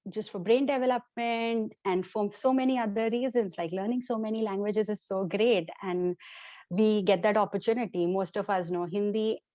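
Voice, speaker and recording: A woman's voice: medium pitch, steady tone, normal speed, warm tone, no room sound. A young woman with an Indian accent, a native Gujarati speaker, answering casually as an interview guest on slightly lo-fi audio. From India (born and raised in Mumbai). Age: young adult. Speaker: podcast guest, occupational therapist.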